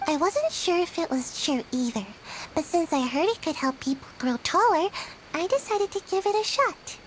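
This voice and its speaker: A woman voicing a small, sleepy, timid ninja girl with a soft childlike voice in an anime video game. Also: extremely high pitch, expressive, moderate pace, a little hiss or hum, poor audio. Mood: sheepish. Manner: drowsy, subdued, plaintive.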